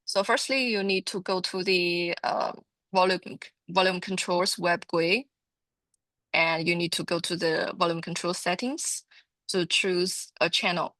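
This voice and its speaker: A woman giving an informal product training presentation, explaining haltingly and often repeating her words. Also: lowish voice, fairly even intonation, fairly bright tone, no echo.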